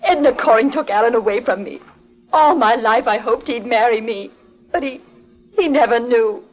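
A woman plays a young, jealous woman of old radio melodrama, polite on the surface and dramatic beneath. Her voice is high, on a muffled vintage recording. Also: normal speed, lightly acted. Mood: anguished, defensive. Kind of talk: 1940s radio melodrama acting.

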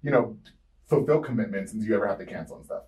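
A man in his late twenties hosts a casual podcast chat, joking and teasing his guests. His speech is quick and his pitch jumps around a lot. He sits a little back from the microphone. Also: lively intonation, a little mellow, distant mic, poor audio. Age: late 20s. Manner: joking, laughs often, swears. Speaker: podcast host.

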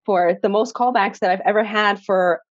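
Chatty woman from Chicago with a Chicago accent, Polish her first language, laughing easily as a podcast guest. Her voice sits at a middle pitch. The sound is dry and a bit dull, lo-fi. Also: normal speed, no echo. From Chicago, USA. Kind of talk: casual interview chat.

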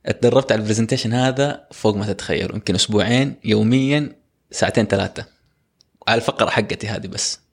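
Young guy on a podcast, talks super fast, kinda husky, lively storyteller. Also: lowish voice. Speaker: podcast co-host. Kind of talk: casual podcast chat.

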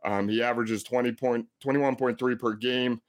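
A man with a deep voice giving NBA player picks, hesitant with many uhs and ums. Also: fairly even intonation, moderate pace. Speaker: sports betting analyst.

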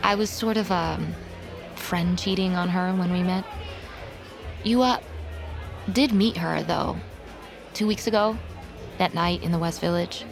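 A woman's voice: on the low side, a little slow, gravelly, long pauses, a crowd in the background. An actress playing an earnest, guarded young woman in an audio drama, with a hesitant, careful way of talking. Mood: awkward, guarded. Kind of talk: audio drama acting, naturalistic dialogue. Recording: music behind the voice.

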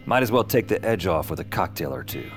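A man's voice: low voice, big pitch swings, a little fast. An actor playing an anxious night-shift dispatcher, narrating his misadventures in dry, clipped sentences. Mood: sour, wry. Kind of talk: first-person noir-comic audio drama narration. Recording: music under the voice.

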